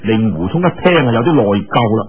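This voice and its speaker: A male storyteller with a Cantonese accent and a slightly husky voice narrates in a colloquial, dramatic way. His pitch moves around in a lively manner at an unhurried pace. The recording is a little dull. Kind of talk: animated oral storytelling of a martial arts novel. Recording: dull, lo-fi audio.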